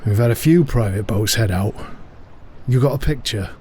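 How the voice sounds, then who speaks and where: An actor playing a weary, suspicious middle-aged harbour master in an audio drama, speaking bluntly. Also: male voice, mid-range voice, animated, normal speed, a little mellow, a little hiss or hum, lightly acted. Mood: matter-of-fact.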